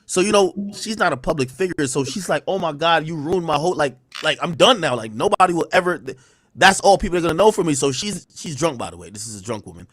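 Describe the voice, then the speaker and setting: A man rants on his livestream, defensive and rambling through heavy slang. His voice sits a little high for a man, and the mic sounds close and dry, with no room around it. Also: animated, fast talker, fairly bright tone, no room sound. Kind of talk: livestream rant.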